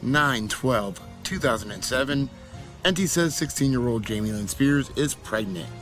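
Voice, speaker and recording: A man narrating a researched commentary video in a measured, explanatory way. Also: mid-range voice, lively intonation, fairly bright tone, faint music behind. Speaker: YouTube commentator.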